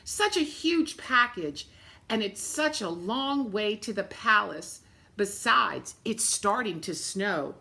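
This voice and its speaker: A woman's voice: mid-range voice, expressive, slow, vocal fry, pushed and bright, heavily acted. A woman voicing a sick, tired mother in a storybook, tender and fretful. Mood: worried.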